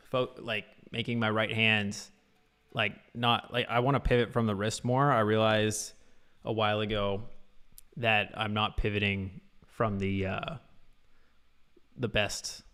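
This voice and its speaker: A man's voice: on the low side, a bit bright, smooth. A man teaching guitar on a casual livestream, talking loosely with lots of fillers. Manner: loose, rambling, full of fillers.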